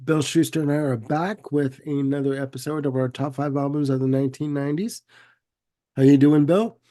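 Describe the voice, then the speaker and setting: A man's voice: on the high side, fairly even intonation, brisk, warm tone. A man with a head cold hosting a casual music chat, sounding congested, laid-back and given to repeating himself. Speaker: podcast host.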